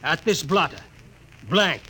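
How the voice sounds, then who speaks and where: High, forceful blustering police sergeant. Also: male voice, lively intonation, unhurried, breathy edge, exaggerated voice, low-quality recording. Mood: angry, accusatory. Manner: barking, accusatory. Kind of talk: 1940s-50s radio drama acting.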